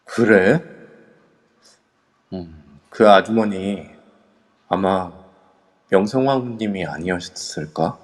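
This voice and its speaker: A man playing a male kendo instructor who leads a ghost-hunting group, calm and in charge. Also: low-pitched, slow pace, long pauses, in a large hall. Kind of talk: amateur audio drama acting.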